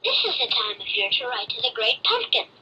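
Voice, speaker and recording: A woman's voice: a little high, very animated, a little fast, bright, forceful tone, husky, muffled recording, exaggerated voice. A woman voicing children in a scripted cartoon story read-along. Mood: earnest. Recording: old tape playback with faint music.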